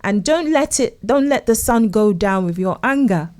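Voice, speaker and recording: A woman's voice: a little high, lively intonation, moderate pace, a little mellow. A woman from England, a relationship consultant speaking in a casual interview, earnest and fond of telling stories and acting out what her husband says.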